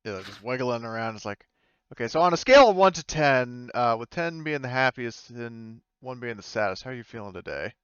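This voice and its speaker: Clear-voiced man running a game, slightly muffled audio. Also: medium pitch, animated, brisk, fairly bright tone, dry recording. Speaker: game master. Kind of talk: improvised game narration and casual table talk.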